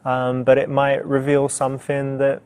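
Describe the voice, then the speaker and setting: A man presenting as a dating coach, explaining things in a halting, conversational way. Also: medium pitch, completely flat delivery, a little mellow, clear, resonant tone. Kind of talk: casual explanatory commentary.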